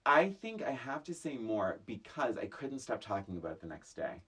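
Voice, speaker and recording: A woman with a strikingly low, raspy voice co-hosts a casual podcast conversation. Her pitch swings widely as she makes emphatic points and repeats phrases. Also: sing-song, moderate pace, a bit bright. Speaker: podcast co-host. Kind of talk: casual chat reviewing a film.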